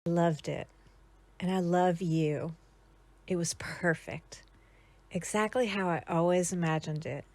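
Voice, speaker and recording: A woman playing a devoted, lovestruck teenage girl, tender and softly resolved in a motion comic drama. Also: lowish voice, dry recording. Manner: slow, tender.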